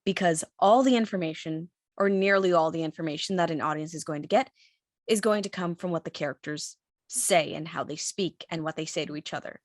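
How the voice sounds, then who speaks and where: Female panelist, slightly low voice, measured and articulate, very dry recording. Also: no echo. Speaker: audio drama writer and panelist. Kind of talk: considered panel discussion.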